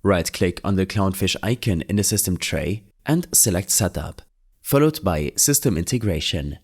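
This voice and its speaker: Low-pitched man narrating a software tutorial. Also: lively intonation, moderate pace, slightly rough. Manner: instructional, orderly, plainly worded. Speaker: tutorial host.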